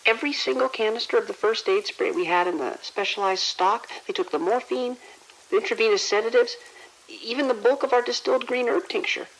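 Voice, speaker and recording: An actor playing a frightened, timid male hospital pharmacy worker in an audio drama, halting, stammering and hushed. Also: medium pitch, slight background noise, close to an everyday voice, poor audio. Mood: panicked.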